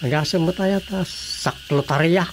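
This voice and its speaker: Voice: mid-range voice, a little slow, a little hiss or hum, lightly acted. An actor with a Filipino accent playing a troubled grown child fretting over family in a radio drama. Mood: worried.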